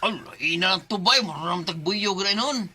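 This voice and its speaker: A man's voice: high voice, moderate pace, sharp tone, slightly rough, full character voice. An actor playing a cheeky young drinking pal who eggs his friend on in a radio drama. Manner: cheeky, egging on.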